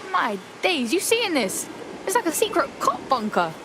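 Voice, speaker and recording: An actress playing a streetwise young London hacker activist in a game, with a slangy, blunt, casual delivery. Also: female voice, high voice, sing-song, moderate pace. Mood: curious, urgent. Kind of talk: game voice acting. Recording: clear background vehicle noise.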